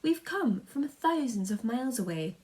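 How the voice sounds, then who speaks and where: A woman's voice: fairly high pitch, very animated, moderate pace, a little mellow, pitched above the performer's own voice. A woman voicing a cheeky, grinning male giant centipede in a children's storybook, offhand and cheerful. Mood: gleeful.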